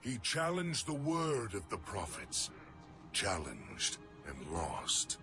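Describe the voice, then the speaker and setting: An actor playing a proud, embittered alien elite warrior in a game cutscene, grave and emphatic in delivery. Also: male voice, on the low side, wildly swooping pitch, takes it slowly, gravelly, exaggerated voice. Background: cinematic music.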